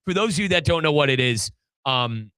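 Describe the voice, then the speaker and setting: Casting: American male soccer podcast host, forceful bright voice, informal and chatty, close dry sound. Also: on the high side, animated, fairly quick, clean tone, no echo. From United States. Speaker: sports podcast host and play-by-play commentator. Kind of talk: casual, animated soccer discussion.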